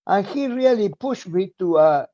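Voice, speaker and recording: This is a man of around ninety with an Argentine Spanish accent. His voice sits a little high for a man, and it comes through muffled and dull, as if over a remote line. He talks in a halting way, full of pauses and fillers, and chuckles often. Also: lively intonation, mellow. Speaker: guest, retired medical professor. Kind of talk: conversational interview.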